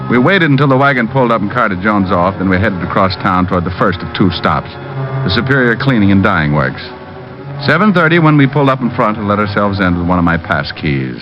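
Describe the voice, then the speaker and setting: Low-voiced, wry private eye. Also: male voice, sing-song, fairly quick, music in the background. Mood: businesslike. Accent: American. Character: wry, quick-witted American private detective. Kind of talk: 1940s-50s radio drama acting and narration. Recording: dull old radio recording, faint noise.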